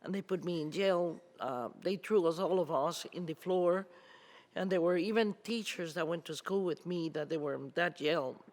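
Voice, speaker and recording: An older woman with a Cuban Spanish accent recalls her own life for an interviewer. She speaks in an earnest, measured way, stopping often to find her words. A long reverberant tail trails each phrase. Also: on the low side, a little mellow. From Cuba. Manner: earnest, measured storytelling with pauses. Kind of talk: recounting personal memories in an interview.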